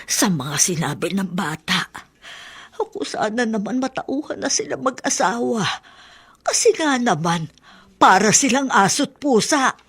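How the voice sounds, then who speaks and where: A woman playing a fretful, excitable, devout old nanny in a radio drama, with a Filipino accent. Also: mid-range voice, breathy edge.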